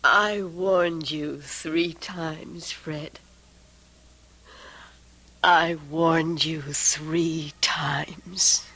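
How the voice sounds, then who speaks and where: A woman's voice: low voice, steady tone, drawn-out words, background noise, exaggerated voice. An actress playing an emotional, mysterious woman suspected of witchcraft in an old-time radio drama. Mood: eerie, menacing. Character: frightened, pleading, darkly mysterious honey-blonde woman suspected of witchcraft.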